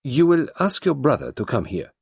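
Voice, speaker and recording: Man playing a calm older detective, quiet, measured, courteous, gravelly. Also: mid-range voice, no room sound, clean studio sound, pitched above the performer's own voice, full character voice. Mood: probing. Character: calm older male detective, gentle, probing.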